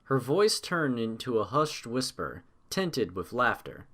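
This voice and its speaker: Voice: mid-range voice, very animated, measured pace, a bit bright. A narrator reading a scripted story aloud in the third person.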